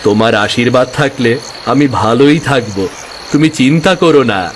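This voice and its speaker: A man's voice: medium pitch, normal speed, a little hiss or hum, lightly acted. A man playing a kind, earnest and cheerful young village boatman in a cartoon story. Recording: music bed behind the voice.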